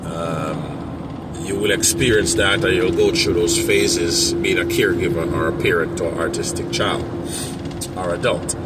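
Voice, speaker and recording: A man talking casually to camera as a parent coach, rambling with many fillers and restarts, with a strong, loud voice. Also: deep voice, steady tone, unhurried, very noisy.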